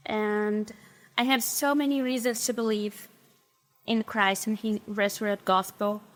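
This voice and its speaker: A woman's voice: medium pitch, fairly even intonation, unhurried. A young woman from Brazil with a Brazilian Portuguese accent, speaking English as a second language and sharing her faith testimony in an interview, choosing her words carefully. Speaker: interview guest.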